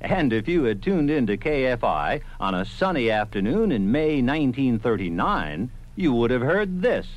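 A man's voice: mid-range voice, big pitch swings, moderate pace, muffled recording. A man reading scripted narration as the presenter of a radio history program.

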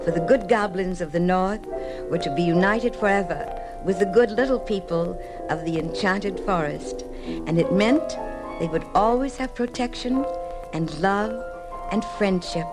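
Lady doing a sweet modest storybook maiden, old radio style, formal and lilting, slightly low, music really loud. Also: a little slow, natural voice. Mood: joyful, tender. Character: gentle, modest youngest daughter and fairy-tale storyteller.

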